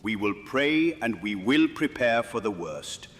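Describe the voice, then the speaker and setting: A man playing a grave, devout medieval king in a fantasy audio drama, formal, measured and weary. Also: on the low side, big pitch swings, a bit bright. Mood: solemn refusal. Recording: long hall reverb, music underneath.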